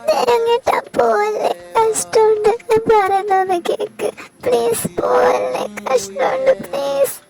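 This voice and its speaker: A woman's voice: very high voice, steady tone, slow, gravelly, full character voice, low-quality recording. A woman voicing a desperate young woman who begs and cries, pleading not to be left. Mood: tearful pleading. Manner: crying, pleading. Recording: voice under loud music.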